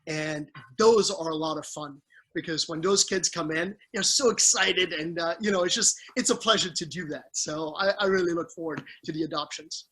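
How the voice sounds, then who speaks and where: A middle-aged man from the Caribbean with a high, bright voice and a husky edge, answering questions over a video call. He talks earnestly, restarting sentences and leaning on fillers as he explains his work. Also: moderate pace. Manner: earnest, warm toward family, speaks with restarts and fillers. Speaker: family law judge.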